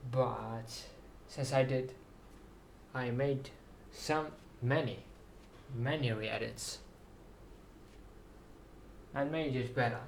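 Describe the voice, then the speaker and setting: Slow, halting man with background noise. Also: mid-range voice, creaky. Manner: halting, repeats words. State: sore throat, slight fever. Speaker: writer introducing and reading own story. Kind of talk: slow rambling video monologue.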